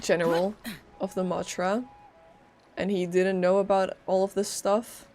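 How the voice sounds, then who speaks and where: A woman's voice: on the low side, darkish tone. A woman live-streaming a game with casual, chatty and playful commentary. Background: faint game music.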